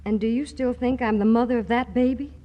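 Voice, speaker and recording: A woman's voice: fairly high pitch, fairly even intonation, fairly quick, dull audio, close to an everyday voice. A woman playing an anxious, stubborn young mother, earnest and emotional, in 1940s radio romantic comedy. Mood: tender.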